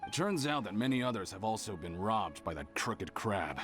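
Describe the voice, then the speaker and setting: An earnest caravan investigator from a video game. The voice is fairly low and reports in formal, measured phrases. Music is clearly audible behind it. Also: male voice, very animated. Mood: grave, reporting.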